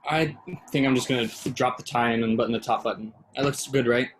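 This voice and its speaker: Casting: male actor as soft-hearted young boyfriend, dry wit, mid-range voice, natural stage-play dialogue. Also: medium pitch, steady tone, fast talker, a little hiss or hum, close to an everyday voice, low-quality recording. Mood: wry, casual. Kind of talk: staged play reading, conversational.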